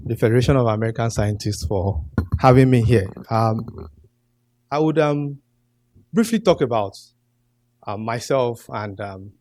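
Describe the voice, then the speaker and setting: A young man with a Nigerian accent giving a conference talk from a podium, pausing often with ums. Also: lowish voice, a little mellow, no room sound. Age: young adult. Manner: hesitant, many ums and uhs. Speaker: graduate student presenter. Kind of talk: conference presentation. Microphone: podium mic.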